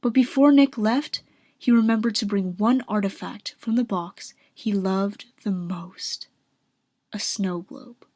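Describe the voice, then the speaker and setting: A woman's voice: medium pitch, fairly even intonation, slow, mellow, dry recording, studio quality. A woman reading scripted narration for a radio drama.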